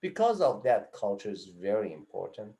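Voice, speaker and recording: A man giving an online psychology lecture, repeating and rephrasing his points and listing items one after another, with emphatic rises and drops in pitch. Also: big pitch swings, normal speed, creaky, dark tone. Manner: repeats and rephrases phrases, lists items in runs. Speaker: psychology instructor.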